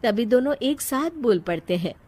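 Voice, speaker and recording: A woman narrating a scripted moral story in a measured storytelling delivery. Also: a little high, lively intonation, a little mellow.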